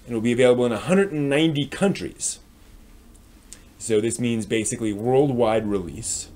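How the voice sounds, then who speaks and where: A man with an American accent hosting a live stream, giving a casual news rundown in a hesitant, halting way as he thinks aloud. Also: mid-range voice, a little mellow. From United States.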